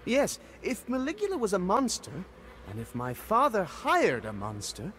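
A man's voice: high-pitched, huge pitch swings, normal speed, fairly bright tone, heavily acted. A man voicing a self-pitying, entitled royal heir in a video game, theatrical and indignant. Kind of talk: video game cutscene acting.

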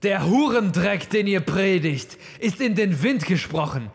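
A man voicing a furious, despairing old pope who shouts in slow outbursts, in a horror story reading. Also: high-pitched, lively intonation, creaky, voice pushed up, heavily acted. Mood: enraged. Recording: cavernous long reverb.

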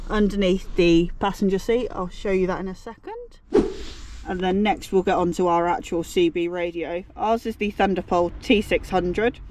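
A woman's voice: medium pitch, darkish tone. A young British woman giving a casual, jokey hands-on tutorial with playful, self-deprecating slang. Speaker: vlogger presenting a car DIY guide. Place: residential street, outside and inside a car. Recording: noisy outdoor car recording.

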